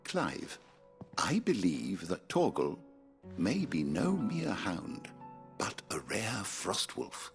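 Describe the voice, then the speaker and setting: Courtly English lore scholar, lowish voice, very animated intonation, eloquent, formal. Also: male voice, slow pace, faint music behind, heavily acted. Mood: scholarly, intrigued. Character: learned, courtly male scholar of fantasy lore. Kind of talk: video game drama acting.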